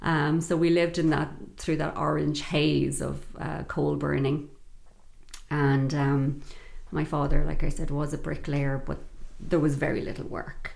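A middle-aged woman with a Dublin Irish accent, telling stories as an interview guest, reflective and often pausing on fillers. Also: low-pitched, level tone, vocal fry, darkish tone. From Ireland, Dublin north side. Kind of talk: conversational interview storytelling.

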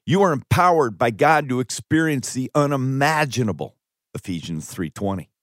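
A man's voice: mid-range voice, lively intonation, normal speed, fairly bright tone, no echo, clean studio sound. A man reading a scripted first-person audiobook testimony with earnest, dramatic emphasis. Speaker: author reading own audiobook.

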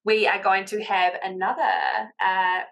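A woman's voice: medium pitch, level tone, a little slow, fairly bright tone, rough recording. A woman with a New Zealand accent, a confidence coach chatting as a podcast guest in an upbeat, encouraging way. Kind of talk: casual podcast interview.

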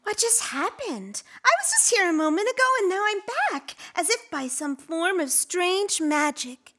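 A woman's voice: very high-pitched, expressive, moderate pace, a bit bright. A woman voicing an eager, romantic young princess in a gushing, theatrical way for a children's comic story. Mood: wistful.

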